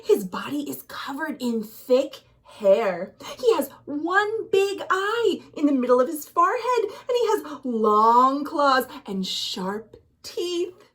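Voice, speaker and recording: Woman acting a terrified, high-voiced village aunt. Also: sing-song, takes it slowly, a bit bright, exaggerated voice. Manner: fearful, dramatic, wailing warning. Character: terrified village aunt warning about a monster.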